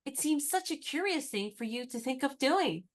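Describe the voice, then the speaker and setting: A woman's voice: high-pitched, expressive, normal speed. A woman acting a cool, poised and inquisitive young woman in a period radio play. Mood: curious, brisk.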